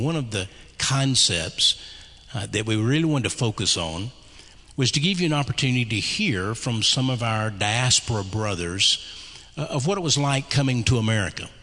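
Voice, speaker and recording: Man, moderator, bright lowish voice, measured, chapel reverb. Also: expressive. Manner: measured, with frequent uh fillers. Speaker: host and moderator. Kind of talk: event introduction. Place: chapel on stage.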